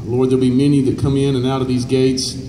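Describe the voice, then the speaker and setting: Pastor over an echoey outdoor PA. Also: male voice, medium pitch, completely flat delivery, fast talker, dark tone. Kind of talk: informal public remarks and prayer. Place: covered outdoor festival stage. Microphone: handheld mic through PA, distant camera. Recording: echoey outdoor PA, noisy ambience.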